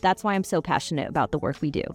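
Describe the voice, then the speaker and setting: A woman from Northern California, a clinical social worker, giving a warm, sincere scripted introduction. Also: deep voice, rapid-fire, soft background music.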